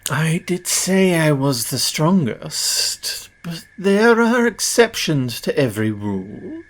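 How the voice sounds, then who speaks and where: A man's voice: on the high side, big pitch swings, takes it slowly, full character voice. A man playing a cocky, condescending, powerful demon who makes deals, performed as a theatrical audio roleplay spoken straight to the listener. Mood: exasperated, bargaining.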